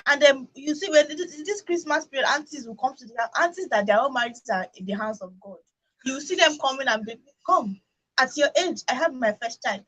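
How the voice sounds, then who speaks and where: A Nigerian woman in an animated radio discussion, talking emphatically with opinions and rhetorical questions. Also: high-pitched, fairly quick, poor audio. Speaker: radio talk show host.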